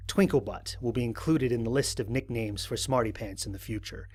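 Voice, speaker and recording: A man playing an analytical, dryly wry explorer recording a mission log in an audio drama, in formal, precise, measured diction. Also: mid-range voice, husky, natural voice. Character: analytical, dryly wry human explorer logging first contact.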